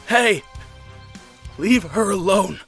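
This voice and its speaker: A man's voice: high-pitched, lively intonation, slow pace, a bit bright, faint music behind, full character voice. A man playing a brave, earnest young knight in an audio drama, challenging his foes. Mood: defiant.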